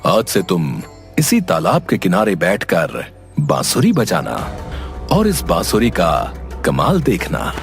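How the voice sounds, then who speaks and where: A calm, mysterious holy wanderer from a cartoon folk tale, voiced by a man. He speaks slowly and solemnly with widely varying pitch, over faint music. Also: heavily acted. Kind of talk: Hindi animated story dub.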